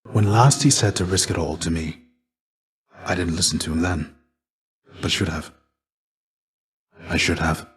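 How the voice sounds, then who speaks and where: A deep male voice for a video game character: a fallen Greek warrior, stoic and weary. He speaks low, in measured, formal phrases. There is a restrained, gentle gravity to him, like a fatherly mentor. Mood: pensive, contrite.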